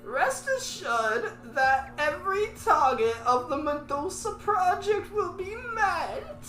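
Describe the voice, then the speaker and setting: A woman's voice: very high-pitched, lively intonation, takes it slowly, a bit bright, reverberant, voice pushed up, heavily acted, rough recording. A woman voicing a gloating mad scientist villain who cackles and shrieks.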